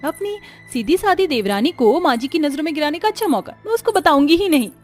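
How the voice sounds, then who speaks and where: A spiteful young sister-in-law from a cartoon, sneering and whiny, played by a woman. Her voice rides very high and loud in mocking bursts, with light music underneath. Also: animated, quick pace. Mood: sly. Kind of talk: animated story dubbing.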